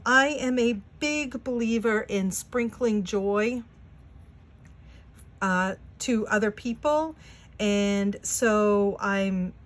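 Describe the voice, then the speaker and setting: A woman in her sixties with a New England accent, chatting casually to camera at home with many fillers. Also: on the high side. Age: 60s. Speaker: vlogger and Etsy seller. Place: home, at a wooden table.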